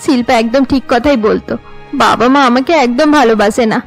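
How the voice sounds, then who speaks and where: A woman's voice: on the high side, moderate pace, faint music behind, natural voice. A woman playing a sad, anxious young rejected daughter in a cartoon, speaking plaintively. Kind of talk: cartoon voice acting.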